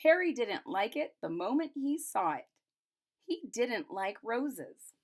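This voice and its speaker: A woman's voice: a little high, big pitch swings, slow. Woman reading a children's picture book aloud. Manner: explains and recaps simply for young listeners. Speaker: storybook reader. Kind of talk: children's picture-book read-aloud with retelling in plain words.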